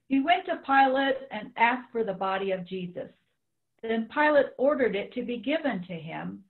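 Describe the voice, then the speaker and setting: A woman giving a dramatic scripture reading as one of several readers. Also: medium pitch. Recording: thin phone-like audio.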